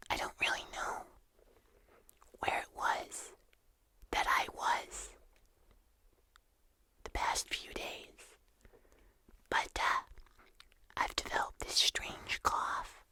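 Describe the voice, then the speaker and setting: An ASMR host chatting casually to viewers in a soft, unhurried whisper with drawn-out phrases. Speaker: host of an ASMR video channel.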